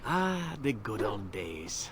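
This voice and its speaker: An actor playing a roguish, flirtatious assassin in a video game, telling tales in a suave, glib, teasing way. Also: male voice, on the low side, very animated, takes it slowly, a bit bright, a little hiss or hum, exaggerated voice. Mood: wistful, glib. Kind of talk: video game dialogue acting.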